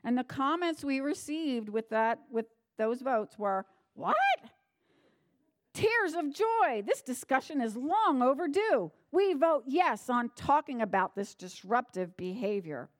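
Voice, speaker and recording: High-voiced woman addressing a meeting. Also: lively intonation, a little slow, no room sound, studio quality. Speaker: meeting presenter handing over to moderators. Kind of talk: addressing a meeting, reading out written comments.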